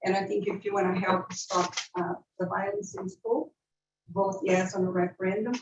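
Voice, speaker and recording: A hoarse, nervous woman from Brazil making a public comment at a city meeting, halting with many fillers and coughs. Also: mid-range voice, steady tone, background noise. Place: city commission chamber. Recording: distant roomy muffled hall mic.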